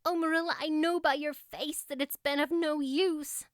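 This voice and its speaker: A woman's voice: high-pitched, steady tone, normal speed, no echo, studio quality, pitched above the performer's own voice, close to an everyday voice. A woman voicing an earnest, melodramatic young girl in an audiobook, effusive and plaintive in manner. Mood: sorrowful. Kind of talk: audiobook character acting.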